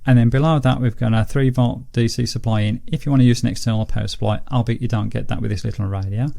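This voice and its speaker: A man's voice: medium pitch, steady tone, fast talker, vocal fry, dark tone. A man presenting a casual product review in a methodical, explanatory way. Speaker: host of gadget review videos.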